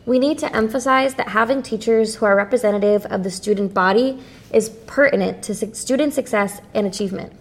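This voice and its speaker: Woman reading out bill summaries steadily. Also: mid-range voice. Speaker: co-host presenting bills. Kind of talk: reading prepared notes.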